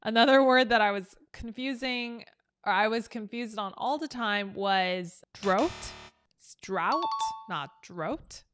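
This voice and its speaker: Slow-talking woman teaching English. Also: on the high side, music in the background. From South Korea. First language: Korean. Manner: chatty and upbeat, explaining with examples. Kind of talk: casual online English lesson.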